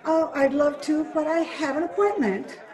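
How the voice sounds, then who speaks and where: A polite but evasive female lodger acted by a woman in a radio drama. The voice is very high, distant and echoey, as on a home video call with faint voices behind. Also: fairly even intonation, normal speed, darkish tone, some chatter behind, natural voice. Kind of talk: old-time radio drama acting. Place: actor's home, recorded over video call.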